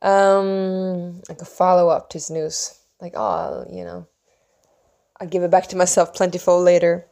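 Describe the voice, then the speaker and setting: A woman from Sweden with a slightly low voice speaks English as a second language. She tells a personal story in a casual, reflective way. Her delivery meanders, with pauses and hushed asides. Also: little pitch movement, gravelly. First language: Swedish. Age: adult, mother of young children. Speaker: vlogger telling a personal story.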